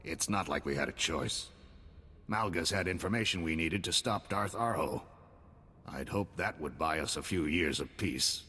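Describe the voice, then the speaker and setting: An actor playing a pragmatic male supreme commander who gives brisk military orders, in a video game. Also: low-pitched, sharp tone, husky, heavily acted. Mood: commanding.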